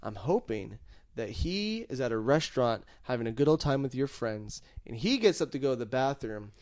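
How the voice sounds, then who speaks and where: A man hosting a comedy podcast, bantering casually and acting out imagined dialogue in an animated, freely swearing way. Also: mid-range voice, moderate pace. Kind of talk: casual comic banter, improvising scenarios.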